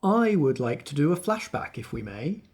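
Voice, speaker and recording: A man's voice: fairly high pitch, very animated, normal speed, darkish tone, slightly rough. A man narrating an improvised tabletop roleplaying scene as game master.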